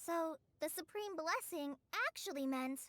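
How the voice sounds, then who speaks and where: A woman voicing a tiny, naive, easily confused fairy companion in a video game, with a squeaky childlike voice. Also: unhurried, a bit bright. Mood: puzzled. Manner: speaks of self in third person, naive. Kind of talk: animated video game dialogue.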